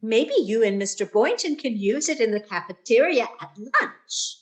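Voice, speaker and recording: A woman's voice: a little high, sing-song, normal speed. Woman acting a kindly, lilting older lady. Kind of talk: old-time radio sitcom acting.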